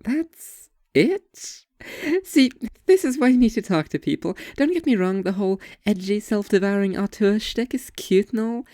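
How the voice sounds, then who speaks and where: A woman's voice: mid-range voice, mellow, no echo, studio quality, lightly acted. A young woman playing a blunt, teasing waitress in audio fiction, with sarcastic, slangy delivery. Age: young adult.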